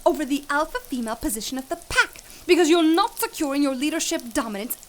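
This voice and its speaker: A woman's voice: high voice, lively intonation, quick pace, heavy background noise. A woman voicing an eccentric naturalist who talks in a prim, wordy, oddly cheerful way. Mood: whimsical. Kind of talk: audio drama acting.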